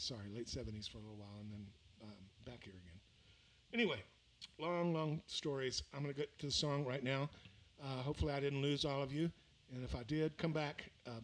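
A man's voice: medium pitch, brisk, husky. An older man from the American South, a musician chatting casually between songs at a small venue and talking into a stand mic, rambling along with lots of fillers and restarts. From Mississippi, raised in Louisiana. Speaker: musician performing a livestream. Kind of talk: casual between-song chat. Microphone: vocal mic on a stand.

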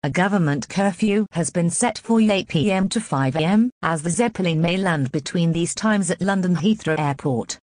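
A woman's synthetic voice reading scripted emergency announcements in a measured, impersonal way. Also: on the low side, a little mellow, no room sound.

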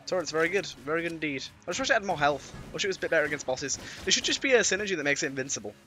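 A British man with a cold and a slightly raspy voice, giving casual, playful, mildly sweary let's-play commentary. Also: fairly high pitch, animated, racing, bright, forceful tone, a little hiss or hum. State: has a cold. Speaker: gaming YouTuber. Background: game music.

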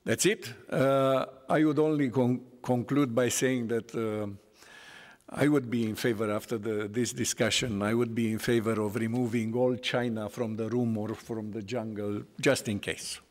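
A man's voice: medium pitch, level tone, normal speed. A middle-aged man making conversational panel remarks at a desk microphone in a large conference hall. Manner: conversational, with frequent "you know" and restarts. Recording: long hall reverb.

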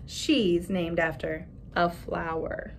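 A woman voicing mocking young schoolchildren from a picture book, with drawn-out, sing-song taunting. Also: on the low side, fairly even intonation, slight background noise.

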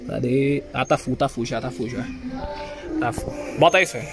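A man's voice: medium pitch, normal speed, music in the background. A man with a Brazilian accent, chatting slangily and thinking aloud as he installs a car sound system, filmed on a phone inside the car. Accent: Brazilian Portuguese. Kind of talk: casual hands-on DIY narration. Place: inside a car, working on its wiring.

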